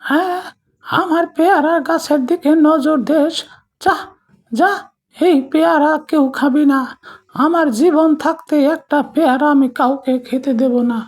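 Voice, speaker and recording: Woman doing a cranky high-pitched old village granny. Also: steady tone. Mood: angry, possessive. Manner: scolding, drawn-out shooing. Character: cranky, stingy old village grandmother guarding her guavas. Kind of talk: cartoon voice acting.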